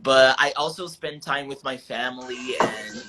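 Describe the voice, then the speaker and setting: A man's voice: mid-range voice, fairly even intonation, slow pace, heavy background noise. A Brazilian man with a Brazilian accent hosting a casual live stream, chatting and switching between Portuguese and English. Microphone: phone on a tripod.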